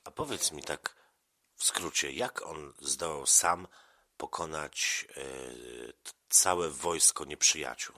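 A man's voice: very deep voice, expressive, slow, pushed and bright. A man speaking casually as an interviewer, asking questions in an encouraging, affirming manner. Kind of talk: casual interview with a child about an audio story.

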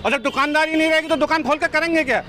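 A man's voice: extremely high pitch, rapid-fire, pushed and bright. A middle-aged man, a shopkeeper, speaking indignantly into a handheld news mic on an outdoor market street and asking pointed questions. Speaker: shopkeeper at a protest. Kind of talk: street interview. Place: outdoor market street, with a crowd around him.

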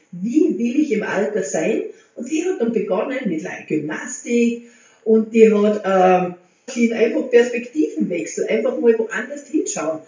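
A woman, chatting to camera at home as a motivational vlogger and gesturing as she talks. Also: fairly high pitch. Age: 60s. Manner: chatty, gestures with hands, warm encouraging tone. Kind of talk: casual talk to camera. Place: living room at home. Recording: very echoey room, slightly dull sound.

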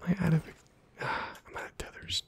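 A man giving casual, playful ASMR game commentary in a hushed, breathy half-whisper, muttering to himself close to the microphone. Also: a little fast. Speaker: gaming video host.

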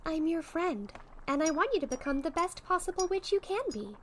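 A woman playing a sweet, encouraging young witch in an ASMR roleplay, speaking in a gentle, soothing manner. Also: very high-pitched, big pitch swings, fairly quick, slight background noise. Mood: reassuring. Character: sweet, encouraging young witch tutoring her shy friend. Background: soft potion bubbling and fizzing effects.

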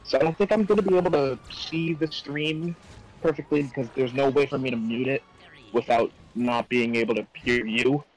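A man's voice: medium pitch, moderate pace, soft background music, rough recording. A man with a nasal voice chatting casually on a voice call as a guest, joking and self-deprecating. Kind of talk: casual chat over a voice call.

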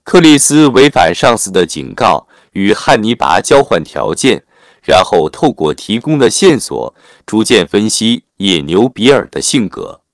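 A man's voice: on the low side, very animated, measured pace, dry recording, studio quality. A man reading a scripted film recap as narrator, in a formal storytelling way. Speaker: narrator of film plot summaries.

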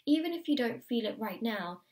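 A woman with a higher, slightly croaky voice talks a viewer through a tarot reading. She is encouraging and often repeats her key phrases. She sounds a little distant from the mic, at a table indoors. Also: expressive, fairly quick, darkish tone. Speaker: tarot reader. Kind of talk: pick-a-card tarot reading to camera.

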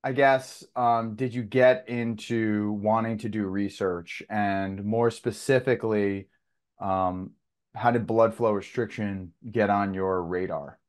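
A man's voice: fairly low pitch, fairly even intonation, takes it slowly. A young man hosting a podcast interview, asking rambling questions and restarting them as he goes, over a video call. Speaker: podcast host.

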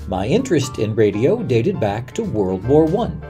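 A man's voice: medium pitch, very animated, normal speed, mellow, soft background music. A man with an American accent giving a scripted first-person recollection as a radio reporter.

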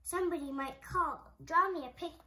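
A child voicing a grumpy, hungry storybook monster making bossy, loud demands. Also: extremely high pitch, normal speed. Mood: bossy, demanding.

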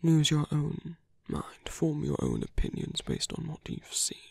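A man playing a seductive, calm villain leader in an ASMR roleplay, breathy and intimate as he slowly coaxes a captive hero. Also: fairly high pitch, no room sound, clean studio sound, heavily acted. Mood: earnest, persuasive. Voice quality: breathy, husky, often whispering. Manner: slow, intimate, persuasive. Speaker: voice actor. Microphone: close, dry microphone.

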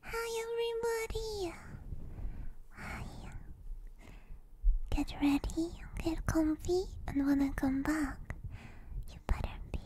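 Very high, soft girlish woman whispering. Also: animated, takes it slowly, pauses often, a little hiss or hum. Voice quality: soft, breathy, girlish, often slipping into whisper. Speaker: ASMR livestream host. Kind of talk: soft ASMR chat with viewers, often whispered. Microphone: binaural ear microphone.

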